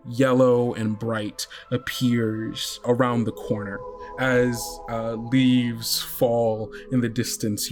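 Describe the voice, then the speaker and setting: A man narrating as game master of a tabletop podcast, unhurried and often pausing on fillers. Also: fairly low pitch. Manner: unhurried, hesitant with many fillers.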